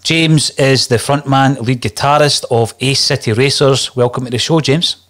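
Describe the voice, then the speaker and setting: A man's voice: medium pitch, fairly even intonation, normal speed, fairly bright tone. Guy hosting a music chat show on a video call, friendly storytelling questions. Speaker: show host. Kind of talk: casual video call interview. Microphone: desk mic on video call.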